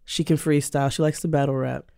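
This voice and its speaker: A woman guest on a podcast, an animated storyteller who laughs easily. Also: deep voice, fast, vocal fry. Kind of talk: casual podcast conversation.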